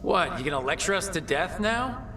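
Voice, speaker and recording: A man's voice: sits high, very animated, moderate pace, bright, forceful tone, heavily acted. An actor playing a wry young male adventurer in a video game, speaking in a casual, direct way. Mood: determined. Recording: music behind the voice.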